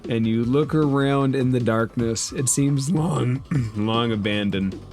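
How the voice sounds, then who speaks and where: A man running a tabletop game as dungeon master, narrating the scene with relaxed, unhurried delivery and dry asides. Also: medium pitch, soft background music.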